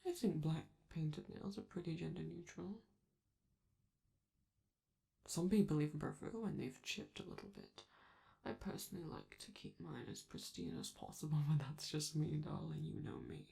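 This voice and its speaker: Glamorous robot celebrity acted by a woman, ASMR roleplay, very gravelly fry, mellow and soft-edged, theatrical, doting. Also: deep voice, big pitch swings, full character voice. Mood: reassuring, playful. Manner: theatrical, affectionate, drawn-out phrasing, calls listener darling. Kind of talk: ASMR comfort roleplay, addressing the listener.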